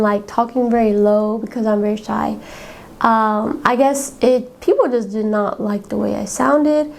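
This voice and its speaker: A young woman with a noticeably high-pitched voice, hosting a podcast and sharing personal stories. She talks in a candid, rambling way, full of "like" and "um", and laughs between her thoughts. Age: young adult. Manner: candid and chatty, fillers like "like" and "um", laughs often. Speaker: podcast host. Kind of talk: casual personal storytelling.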